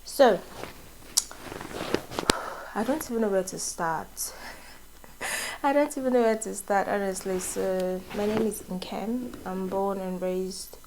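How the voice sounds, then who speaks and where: A woman's voice: mid-range voice, slow, pauses often, a little mellow, rough recording. Young nigerian woman chatting on her vlog, giggly and rambly, english not her first language, bit of faint hiss. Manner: chatty, laughs often, rambling asides. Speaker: vlogger. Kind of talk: casual get-to-know-me vlog.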